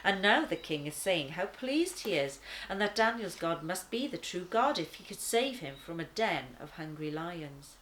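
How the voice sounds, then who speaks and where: A woman voicing a calm, patient, courteous guardian angel who explains things in a children's storybook. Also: on the low side, a little hiss or hum, rough recording. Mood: courteous, reassuring. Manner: measured explaining, reassuring.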